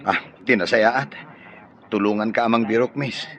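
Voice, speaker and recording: An actor playing an eager, flirtatious, easily smitten bachelor, hesitant and solicitous, with an Ilocano accent in radio drama style. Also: male voice, on the low side, steady tone, normal speed, a bit bright, natural voice. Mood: eager, courting. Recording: faint noise and ambience.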